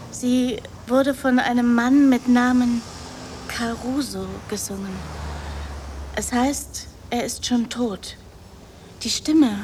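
A woman's voice: a little high, darkish tone, slight background noise. An actress playing a naive, meek young woman in a radio drama, with an artless, childlike sense of wonder.

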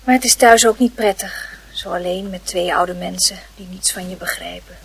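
An actress playing a wistful young aunt in a radio play, musing and hesitant. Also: female voice, fairly low pitch, moderate pace, vocal fry, slight background noise, rough recording. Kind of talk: Dutch radio play acting.

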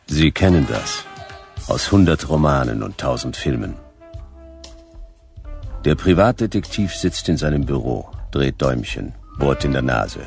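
An actor playing a weary, wisecracking private detective in a radio play, laconic and sardonic in a noir style. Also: male voice, rumbling low, sing-song, measured pace, gravelly, darkish tone, soft background music, exaggerated voice. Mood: dry, wry.

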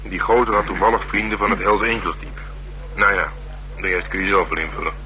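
Low-voiced gruff streetwise detective, noisy recording. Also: male voice, brisk, sharp tone. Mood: wry, matter-of-fact. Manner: colloquial slangy talk, dry and wry. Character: male police detective, gruff, streetwise, worldly, asking a favour. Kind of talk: Dutch radio drama dialogue. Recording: noisy radio drama recording.

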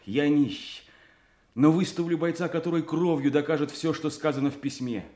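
A man's voice: a little high, moderate pace, warm tone, lightly acted. An actor playing a humble, loyal and brave young man in disguise in a classical audio drama, with earnest declamatory delivery. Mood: humble, resolute.

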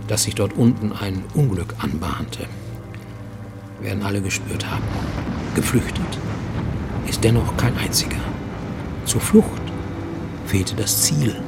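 A grown man orphaned in childhood, telling his life story in a radio drama. His voice sits low, and he phrases deliberately, leaving weighty pauses. Music plays clearly underneath. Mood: grave, hushed. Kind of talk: literary first-person monologue in a radio play.

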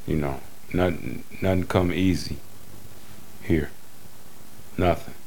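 Low-voiced, blunt Detroit American man. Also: steady tone, slow pace, a little mellow, a little hiss or hum, low-quality recording. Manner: blunt, slangy, profane. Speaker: music producer interviewee. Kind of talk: casual, unscripted interview.

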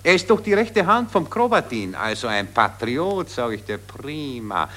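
Pompous viennese aristocrat, blasé and grumbly, affected chatter that swoops around, old forties radio drama. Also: male voice, medium pitch, big pitch swings, normal speed, fairly bright tone. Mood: haughty grumbling. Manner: affected, haughty, languid upper-class chatter. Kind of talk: 1940s German radio play acting. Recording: vintage 1940s radio recording.

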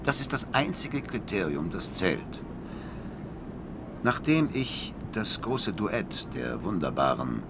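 An actor playing a blind, worldly music critic in a radio drama, speaking eloquently and deliberately with bitter passion. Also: male voice, sits low, gravelly, noisy background, heavily acted. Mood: opinionated. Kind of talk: radio drama dialogue and monologue. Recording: music behind the voice.

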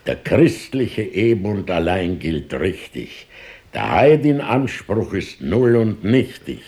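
Gravelly, pompous old Pope. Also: male voice, low voice, very animated, slow pace, warm tone, heavily acted. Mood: pompous, decisive. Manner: pompous, declamatory, sly. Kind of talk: theatrical verse radio play.

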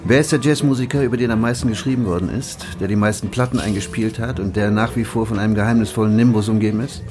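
A man's voice: lowish voice, over music, close to an everyday voice. An actor playing an eager, good-natured male English professor who pleads and persuades in a radio play. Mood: coaxing. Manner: pleading, persuasive, talks fast when excited.

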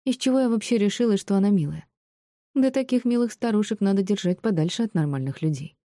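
A woman voicing an indignant, bewildered young woman in an audiobook, exclaiming and quick to snap. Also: mid-range voice, lively intonation, dark tone, no room sound, clean studio sound, voice pushed up, lightly acted. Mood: exasperated. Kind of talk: audiobook character acting.

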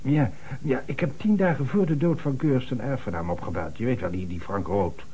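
An actor playing an agitated, self-important small-town notary on the telephone in a Dutch radio play, flustered and stammering. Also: male voice, mid-range voice, a little fast, mellow, close to an everyday voice, low-quality recording. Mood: uneasy, pleading.

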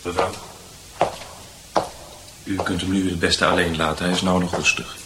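An actor as a distant, monotone male attendant. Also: sits low, normal speed, natural voice. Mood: calm, polite. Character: calm, courteous male asylum attendant. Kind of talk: radio drama acting. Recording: distant, echoey, very noisy.

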